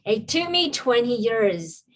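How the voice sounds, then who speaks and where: A woman's voice: on the high side, very animated, slow, slight background noise. Woman telling her story. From China. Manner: earnest, reflective storytelling with frequent ums. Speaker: podcast guest, business coach. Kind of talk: personal story in a podcast interview.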